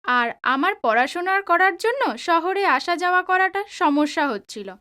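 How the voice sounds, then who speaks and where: A woman's voice: extremely high pitch, lively intonation, moderate pace, sharp tone, heavily acted. A woman playing a polite, determined young student in an audio drama, earnest and girlish. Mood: earnest, wistful.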